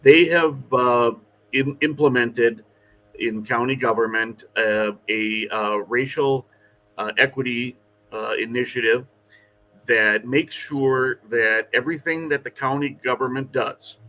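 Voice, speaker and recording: A man answering as a candidate at an online forum, measured and policy-minded, with frequent hesitations. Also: mid-range voice, pushed and bright, poor audio. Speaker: political candidate.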